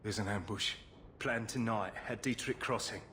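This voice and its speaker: A man's voice: fairly low pitch, normal speed, bright, forceful tone, slight background noise. An actor playing a desperate, humble refugee and family man pleading his case in a video game drama. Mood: subdued. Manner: pleading, humble, plain-spoken working folk. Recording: music behind the voice.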